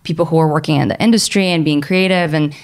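A woman's voice: low-pitched, quick pace. A woman in her thirties from Bulgaria, a filmmaker guest chatting casually in an interview and laughing easily.

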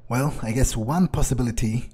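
A man's voice: mid-range voice, a little fast, warm tone, breathy edge. A man roleplaying as his video game character, musing aloud in an aloof, profane way, close to the microphone. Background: faint game music.

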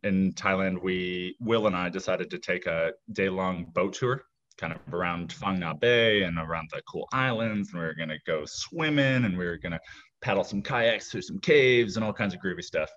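A man's voice: low-pitched, fairly bright tone. Laid-back man telling stories, muffled sound. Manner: laid-back, rambling with fillers. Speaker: guest. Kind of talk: casual storytelling chat.

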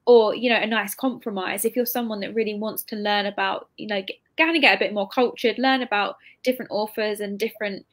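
A woman's voice: mid-range voice, steady tone, fairly quick, few pauses. A woman in her twenties hosting a casual live interview over a video call, chatty and effusive. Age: 20s. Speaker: interviewer, bookshop event host. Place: indoor room at home, on a video call.

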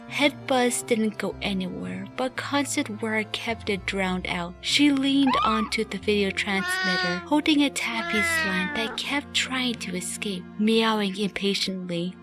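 Casting: female radio play narrator, higher-pitched, scripted storytelling over a clear music bed.

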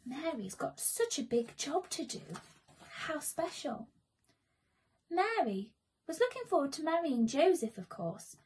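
A young woman telling a children's story theatrically, with breathy asides to her young audience. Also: high-pitched, darkish tone. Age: young adult. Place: small room at home.

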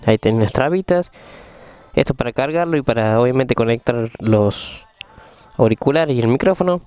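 An Argentine man giving a casual hands-on tutorial in a halting, explanatory way, rewording as he goes. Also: medium pitch, lively intonation, a little mellow, clear, resonant tone. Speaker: motovlogger. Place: room at home, indoors. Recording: dull, lo-fi sound.

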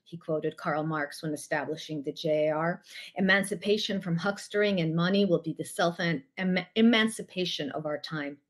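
A woman from the former Soviet Union whose first language is Russian, reading an excerpt from her historical novel over a video call from a small room at home, at a measured pace with occasional self-corrections. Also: lowish voice. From Odessa, then USSR. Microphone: laptop webcam mic on video call.

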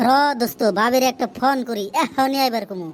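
A man voicing a henpecked but boastful husband in a comic cartoon, with a rural Bangladeshi accent and a pitched-up voice. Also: fairly quick. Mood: eager. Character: henpecked, boastful husband eager for a second wife. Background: prominent music.

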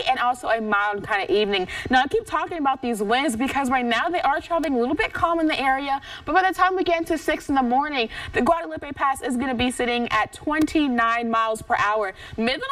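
A woman's voice: high-pitched, a bit bright, no echo, recorded from a distance. A young woman presenting a local TV weather forecast from a studio, upbeat and chatty, with the odd slip and self-correction. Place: TV studio in front of a weather wall.

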